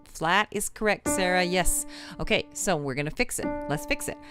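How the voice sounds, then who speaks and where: A woman's voice: lowish voice, moderate pace, over loud music. A woman teaching a live singing lesson, chatting with viewers in an encouraging way and laughing easily.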